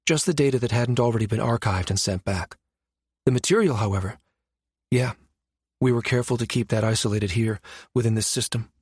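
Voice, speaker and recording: A man's voice: mid-range voice, brisk, a little mellow, breathy edge, no echo, studio quality, close to an everyday voice. Man voicing a serious, determined woman scientist. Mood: soft, guarded.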